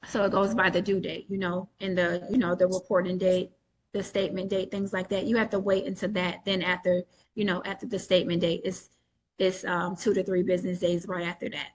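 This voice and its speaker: A woman's voice: fairly low pitch, flat delivery, fairly quick. A woman coach on a video call, answering questions at length with frequent restarts and fillers. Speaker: coach selling a mentorship. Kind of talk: live webinar Q&A.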